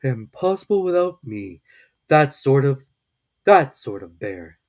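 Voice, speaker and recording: A woman voicing a humble, slow-witted, good-natured male bear with a mild, ponderous way of talking in a storybook reading. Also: rumbling low, very animated, darkish tone, pitched above the performer's own voice, full character voice. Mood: proud.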